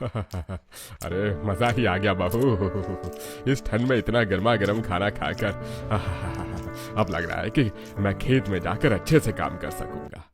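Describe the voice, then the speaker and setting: A jovial older village father-in-law in a cartoon story, played by a man. He speaks slowly in a low register. Music plays clearly behind the voice. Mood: pleased.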